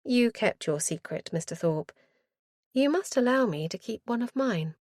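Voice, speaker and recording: A woman voicing a poised, secretive noblewoman who speaks softly with a smile. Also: fairly high pitch, animated, normal speed, dry recording, clean studio sound, pitched above the performer's own voice. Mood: teasing. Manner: soft, smiling.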